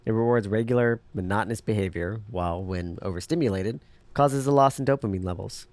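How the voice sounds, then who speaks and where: A man plays a jaded underground hacker in a radio-style drama. His voice sits fairly low and he explains things in a dry, know-it-all way. The sound is tight, with no room on it. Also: fairly quick, darkish tone, dry recording, natural voice. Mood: cynical, explaining. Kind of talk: audio drama acting.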